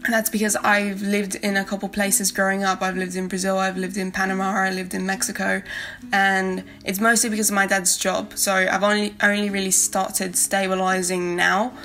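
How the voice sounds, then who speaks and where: Young woman, flat voice, Spanish accent. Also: mid-range voice, moderate pace. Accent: South American Spanish. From South America. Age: young adult. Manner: rambling, friendly, many fillers. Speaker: art YouTuber. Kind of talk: casual voice-over while drawing. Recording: faint backing guitar music.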